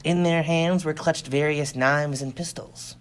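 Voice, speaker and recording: A man's voice: on the high side, steady tone, measured pace, a little hiss or hum. A man reading a science fiction adventure story as dramatic scripted narration. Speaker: audiobook reader.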